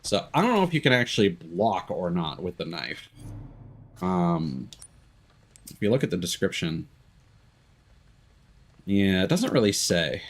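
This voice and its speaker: A man's voice: deep voice, very animated, measured pace, long pauses. A man chatting casually as a game streamer, candid and sweary, thinking aloud as he goes. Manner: sweary, candid, self-deprecating. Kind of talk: casual live-stream chat and gameplay commentary.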